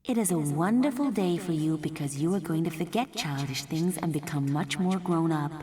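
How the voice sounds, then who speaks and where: A woman's voice: sits low, normal speed, warm tone, echoey room, big-room sound, lightly acted. A woman voicing a kindly, patronising announcer addressing the public in an audiobook story. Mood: cheerful, patronising. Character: kindly official announcer on futuristic broadcast screen.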